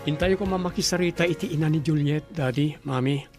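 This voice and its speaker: A man's voice: a little high, fairly quick, faint music behind, lightly acted. A man with a Filipino accent playing an earnest, dutiful grown son in a radio drama. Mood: respectful, earnest. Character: dutiful, earnest grown son and suitor, middle-class worker.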